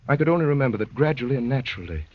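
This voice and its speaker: An actor playing an earnest, haunted poet recounting a vision, husky, dramatic and literary, in 1930s radio drama style. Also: male voice, medium pitch, lively intonation, rapid-fire, natural voice. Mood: wistful, narrating. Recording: muffled, lo-fi 1930s radio recording.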